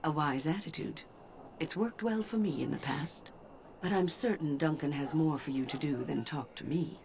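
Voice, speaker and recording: 차분하고 지혜로운 노년의 여성 마법사. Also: female voice, deep voice, darkish tone, a little hiss or hum. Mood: calm. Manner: measured, formal, lecturing. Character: older female mage, calm and wise. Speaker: game character.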